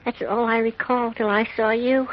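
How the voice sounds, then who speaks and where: A woman playing a small, gentle, doleful creature who groans in pain and speaks in rhyme in an old radio drama. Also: fairly high pitch, little pitch movement, moderate pace, close to an everyday voice. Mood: frightened, doleful. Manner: speaks in rhyme, woeful and plaintive. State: in pain, weak. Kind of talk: 1940s radio acting. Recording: dull noisy old radio recording.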